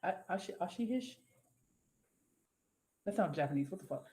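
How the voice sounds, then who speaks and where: A woman's voice: on the low side, expressive, moderate pace, dark tone. A woman co-hosts a casual live-stream show. She reads viewer comments aloud and rambles between them, swearing freely and laughing easily. Her voice rings in an echoey room. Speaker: co-host.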